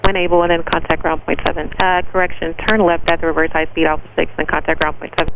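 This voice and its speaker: A man working as an air traffic tower controller, giving clipped, procedural clearances and instructions over aviation radio. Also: a little high, little pitch movement, fast, lo-fi sound. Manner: clipped, procedural, matter-of-fact. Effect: aviation radio transmission.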